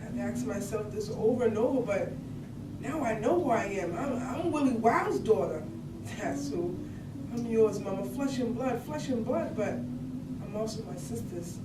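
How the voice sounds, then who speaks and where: A searching, devoted daughter, acted by a woman in a staged poetic monologue. Her fairly high voice moves in tender, halting phrases. It is picked up from a distance with loud noise around it. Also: lively intonation. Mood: devoted, claiming. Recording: distant, echoey, heavy room noise.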